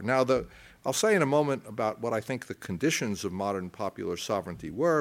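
A man giving an academic lecture, halting and discursive, circling back with stammered restarts and putting deliberate stress on key phrases. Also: medium pitch.

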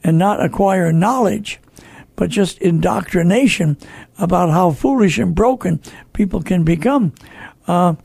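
A man's voice: high-pitched, measured pace, creaky, a little mellow, husky, no echo. An older American man hosting a talk show, earnestly exhorting listeners in a conversational preaching style with repeated phrases.

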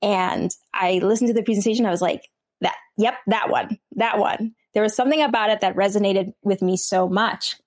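A young woman in her twenties with a New Jersey accent and a slightly hoarse voice, talking casually as a podcast guest, with many fillers and restarts. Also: mid-range voice, a little fast. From New Jersey, USA. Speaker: guest, medical student. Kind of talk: podcast interview.

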